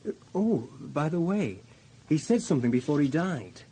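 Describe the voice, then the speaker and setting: An actor playing a deferential junior police officer in a radio drama, polite, hesitant and earnest. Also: male voice, a little high, very animated, normal speed, dark tone, a little hiss or hum.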